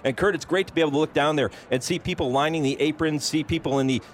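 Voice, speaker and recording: Quick upbeat guy calling a horse race broadcast from up in the booth, light outdoor hum. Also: a little high. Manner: brisk, upbeat scene-setting. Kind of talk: live sports broadcast commentary. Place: broadcast booth overlooking racetrack.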